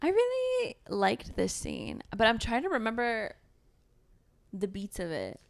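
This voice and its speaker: A woman with a fairly high, very animated voice chats as a book podcast co-host. Her delivery is candid and informal, full of slang. The sound is dry, with no room echo. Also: a little slow, gravelly. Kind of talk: casual book discussion chat.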